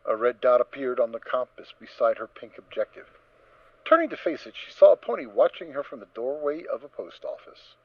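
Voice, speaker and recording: A man narrating a story as a dramatic reading, in an expressive, theatrical manner. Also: mid-range voice, fairly quick, dry recording. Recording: slightly dull, dry close recording.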